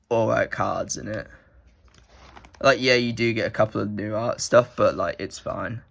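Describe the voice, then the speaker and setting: Young man, nasal with a cold, casual rambling delivery, mid pitch. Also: vocal fry, a bit bright. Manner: rambling, many "like" fillers. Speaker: card collector vlogger. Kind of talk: casual rambling show-and-tell.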